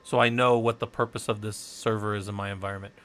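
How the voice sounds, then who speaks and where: A man's voice: fairly low pitch, little pitch movement, quick pace, a bit bright. A man from the Southwest United States rambling and thinking aloud while teaching a casual live-stream tech tutorial, chatting with viewers as he works.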